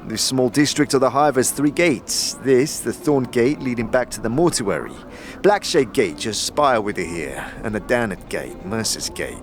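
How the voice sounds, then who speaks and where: A flamboyant street tout from a fantasy audio drama, acted by a man, sly and theatrical. He talks in street slang with a very animated, swooping delivery and a chuckle, over faint music. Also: medium pitch, moderate pace, lightly acted. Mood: sly, breezy. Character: flamboyant, sly sandy-skinned street tout offering his services. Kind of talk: audio drama character acting.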